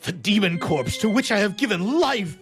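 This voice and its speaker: A man's voice: sits high, expressive, normal speed. A man playing a horrified, defiant Frankenstein in a declamatory, melodramatic period stage piece read for radio theater. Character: horrified, defiant Frankenstein, young male creator of a monster. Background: music.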